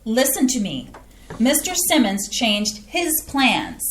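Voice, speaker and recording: An actress voicing a flustered Midwestern housewife, swinging up and down in pitch as she fusses. Her voice sits somewhat high. It rings around a reverberant theatre hall. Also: wildly swooping pitch, slow, a bit bright, a little hiss or hum, full character voice. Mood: pleading. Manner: flustered, fussy and eager. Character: excitable Midwestern housewife and mother. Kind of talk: staged play reading. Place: theatre stage.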